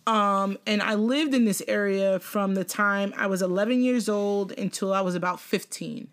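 Young woman on a podcast, super animated, swears a lot, laughs easy, just chatting. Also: medium pitch, moderate pace. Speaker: podcast co-host. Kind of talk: casual chat.

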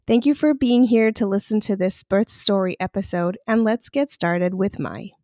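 A woman hosting a birth story podcast as a doula, friendly and quick to laugh, with many fillers. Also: medium pitch, warm tone, dry recording. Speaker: podcast host and postpartum doula. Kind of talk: podcast interview with scripted intro.

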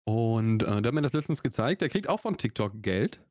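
A man's voice: mid-range voice, sing-song, a little fast, darkish tone, dry recording, studio quality. A young man from Augsburg with a Swabian accent, co-hosting a podcast in a loose, rambling chat full of fillers and restarts. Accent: Swabian German. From Augsburg, Swabia, Germany. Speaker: podcast co-host. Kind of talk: casual chat.

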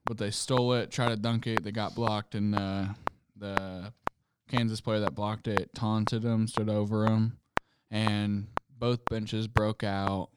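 A young man telling anecdotes in casual chat, in an informal, halting way. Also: fairly low pitch, level tone. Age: young adult. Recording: very noisy recording with clicks.

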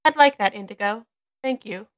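A woman playing a gentle, polite, ailing mother in an audio drama. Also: medium pitch, moderate pace, lightly acted. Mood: grateful. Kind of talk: audio fiction acting.

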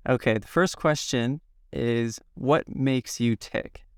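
Man, thirties, from the Midwest, slightly hoarse, gravelly yet smooth, halting self-interview. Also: medium pitch, dry recording, clean studio sound. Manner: slow, halting, reflective. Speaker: podcast guest.

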